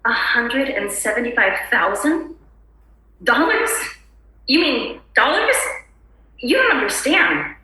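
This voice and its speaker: Woman acting a frazzled, high-voiced suburban housewife, very echoey. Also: very animated, slow, pauses often, sharp tone, exaggerated voice. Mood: stunned, insistent. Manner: insistent, over-polite, nervous. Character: frazzled, brittle-cheerful suburban housewife, desperately polite. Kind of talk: screenplay table read acting. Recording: very echoey room recording.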